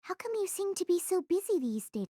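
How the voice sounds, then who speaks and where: A woman's voice: very high voice, very animated, a little fast, mellow, dry recording, studio quality. A woman doing a nosy teasing schoolgirl. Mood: teasing, prying. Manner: teasing, prying questions. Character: nosy gossiping schoolgirl, relentless prier.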